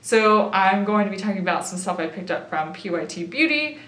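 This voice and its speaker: A young woman talks through her makeup haul in a relaxed, chatty way, thinking aloud as she tries things on. Her voice is a little raspy and tired from a late night, pitched in an ordinary middle range. The room around her adds a noticeable echo. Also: brisk. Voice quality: slightly raspy. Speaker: beauty vlogger. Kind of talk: casual makeup haul and try-on.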